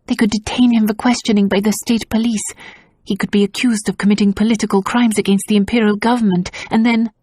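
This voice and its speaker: A woman reading an audiobook voices an anxious, quick-witted young noblewoman who pleads breathlessly. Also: fairly high pitch, fairly even intonation, darkish tone, no echo, studio quality, pitched above the performer's own voice, lightly acted.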